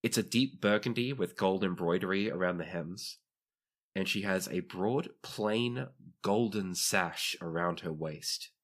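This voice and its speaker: Deep-voiced man narrating a tabletop game. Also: a little slow. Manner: conversational, descriptive storytelling. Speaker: game master. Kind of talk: improvised narration of a tabletop role-playing game.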